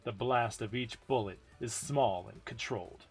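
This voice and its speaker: A man's voice: medium pitch, unhurried, breathy edge. A man giving a dramatic amateur reading of a game story as narrator. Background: music.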